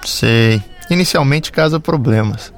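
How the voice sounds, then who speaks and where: A man reading his part in a scripted lesson dialogue, clearly and at a measured pace. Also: mid-range voice, a faint crowd. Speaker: dialogue reader.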